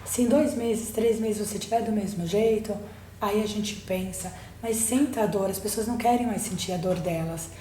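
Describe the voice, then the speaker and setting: A woman's voice: medium pitch, normal speed, mellow. Woman guest in an echoey room. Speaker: guest psychologist and book co-author. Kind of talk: casual personal storytelling. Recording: roomy echo, faint background noise.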